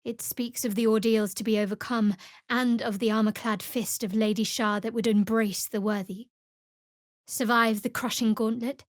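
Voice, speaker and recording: A woman playing a guarded, sardonic young cleric of a dark goddess in a video game, speaking in a dry, wry manner. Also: a little high, no echo, close to an everyday voice. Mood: solemn, devout. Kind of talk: video game character voice lines. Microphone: close studio microphone.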